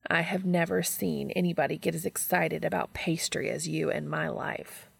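A woman's voice: deep voice, fairly even intonation, moderate pace, creaky, husky, dry recording, exaggerated voice. A woman voicing a wry older-brother barista in a storybook reading, bantering and casual.

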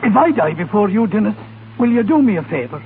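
An actor playing a loyal, excitable Irish rebel sidekick in a 1930s radio drama, earnest and reproachful, with a colloquial brogue. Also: male voice, high-pitched, quick pace, slight background noise. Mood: wry, relieved. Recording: tinny 1930s radio broadcast with music.

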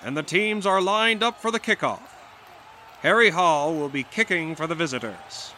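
An actor playing an excited 1920s radio announcer calling football play-by-play in punchy exclamations. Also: male voice, on the high side, normal speed, slight background noise. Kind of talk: 1920s radio sports commentary.

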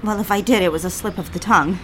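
A woman's voice: mid-range voice, fast, noisy background, natural voice. An actress as a conflicted young woman. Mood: conflicted, confiding. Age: 20s. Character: conflicted, romantic young woman confiding in her father. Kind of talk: audio drama acting.

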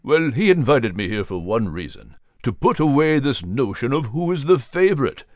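A man voicing a wise, kindly mentor figure who speaks in a gentle, fatherly, measured way. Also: fairly low pitch, no room sound, studio quality, voice pushed down.

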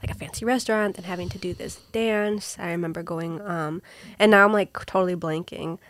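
Young woman, lowish voice, relaxed casual chat, dry close mic. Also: a little fast, dry recording. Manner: easygoing, reminiscing with fillers.

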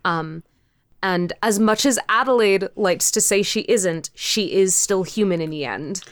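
A woman answers interview questions casually, pausing and rephrasing as she thinks. Her voice is medium in pitch, smooth and clean. It is recorded very dry, with no audible room. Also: no echo. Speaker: interview guest, indie audio drama creator.

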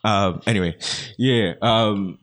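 A man's voice: lowish voice, slow, a bit bright. A young man co-hosting a casual chat, rambling with many fillers and frequent laughs. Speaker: podcast co-host.

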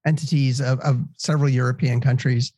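A man's voice: medium pitch, level tone, brisk, mellow, dry recording, clean studio sound. An American man with a congested nose hosts a casual co-hosted discussion in a jokey, rambling way. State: congested from allergies. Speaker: podcast host.

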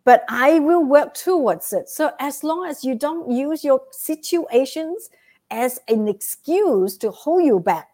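A woman's voice: sits high, expressive, slow pace, dark tone. A woman with a Vietnamese accent, a guest giving earnest motivational advice and often checking in with "right?". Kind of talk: motivational interview talk.

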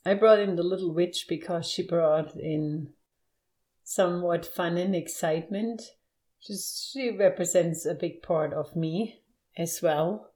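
An older woman, a native German speaker with a clear German accent, talks reflectively as an interview guest. Her voice sits low and her delivery is slow, with halting moments as she searches for words. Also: warm tone.

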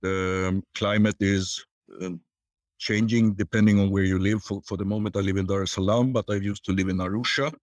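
An older man, answering interview questions in a reflective, halting, conversational way. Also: deep voice, flat delivery, dry recording, clean studio sound. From born in Tanzania, grew up there and in Sweden. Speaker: podcast guest.

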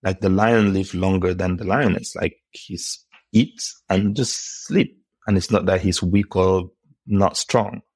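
Deep-voiced man, reflective. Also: steady tone, darkish tone, breathy edge, no echo. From Cameroon. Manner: reflective, unhurried storytelling with many "you know"s. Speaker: podcast guest, neurotechnologist. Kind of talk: casual interview conversation.

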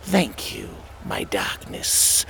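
A man voicing a scheming female fairy queen who speaks slowly and softly to her dark master. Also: slight background noise. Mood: restrained.

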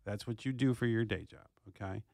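A man co-hosting a sports talk show, arguing his opinions forcefully and repeating phrases for emphasis. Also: on the low side, brisk, creaky, no echo, studio quality.

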